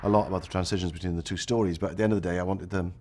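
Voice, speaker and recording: A man's voice: sits low, steady tone, rapid-fire, darkish tone. A middle-aged man with a Welsh accent, a native Welsh speaker, reflecting on his film in an indoor interview, often restarting his thoughts. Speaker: film director. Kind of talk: documentary interview. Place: indoor room with chairs.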